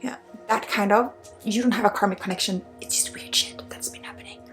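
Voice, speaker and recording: A woman who is a native Swedish speaker, giving an ASMR energy healing in an intimate, halting, casual way, slipping often between soft voice and breathy whisper. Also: medium pitch, steady tone. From Sweden. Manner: casual, blunt, swearing, halting with asides. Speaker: spiritual healer and ASMR host. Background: music.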